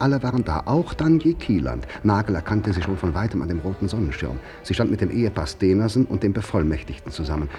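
An actor as the grave, literary narrator of a 1950s German radio drama, with a husky voice. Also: male voice, on the low side, darkish tone, lightly acted. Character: grave, literary male storyteller.

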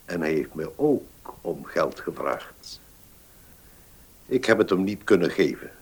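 An actor playing a weary old notary in a Dutch radio drama, courteous, formal, hesitant and deliberate in his speech. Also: low voice, big pitch swings, a little mellow, slightly rough, heavily acted. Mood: reminiscing, measured. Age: older.

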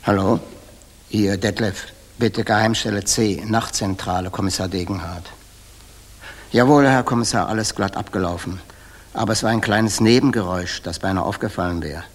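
An actor playing an obsequious, furtive waiter who informs for the police, in a 1960s German radio play, with a husky, clipped delivery. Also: male voice, lowish voice, normal speed, close to an everyday voice. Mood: furtive, dutiful. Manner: servile, clipped, deferential to superiors. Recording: reverberant 1960s mono radio recording.

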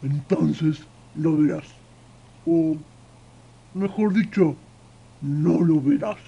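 A man's voice: on the high side, gravelly, noisy background, full character voice. A man playing a maimed, gloomy forest troll with grave delivery, in a radio drama. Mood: mournful. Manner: slow, grave. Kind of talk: Spanish-language radio drama acting. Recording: dull, muffled sound.

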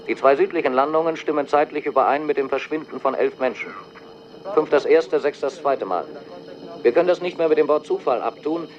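An actor playing an urgent air force officer, sending clipped, official dispatches over a military radio in a radio drama. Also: male voice, a little high. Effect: military radio filter. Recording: dull, noisy radio transmission.

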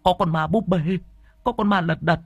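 A drunk young man stammers roughly, shaken and blustering, as a male narrator acts him out. His voice is husky. The sound is dry and close. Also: a bass voice, a little fast, darkish tone, dry recording, dull audio, soft background music, voice pushed down, exaggerated voice. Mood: panicked.

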